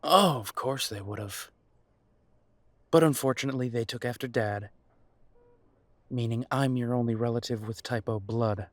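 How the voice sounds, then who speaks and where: A man acts out an estranged werewolf son in an audio roleplay. His voice is mid-pitched and recorded very dry. He sounds guarded, hurt and weary, with biting sarcasm. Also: normal speed, long pauses, dry recording, close to an everyday voice. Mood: sarcastic, defiant. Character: bitter, wounded adult werewolf son confronting his parents. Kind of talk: audio roleplay drama acting.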